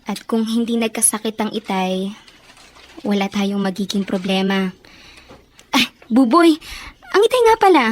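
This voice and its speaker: An actress playing a dutiful, worried elder sister from a poor family in a Filipino radio drama, speaking earnestly and with drawn-out emotion. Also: female voice, medium pitch, expressive, natural voice. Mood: earnest, reassuring.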